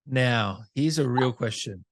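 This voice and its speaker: A man's voice: medium pitch, a little slow. A man hosting a podcast interview in a casual, conversational style. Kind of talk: casual chat, reading fan questions.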